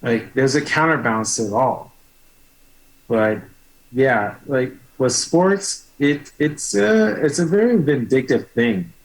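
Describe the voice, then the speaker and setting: A man speaking as a guest on a talk show, halting and filling pauses with "like" and "you know". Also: mid-range voice, expressive, rough recording. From United States. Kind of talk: casual interview talk.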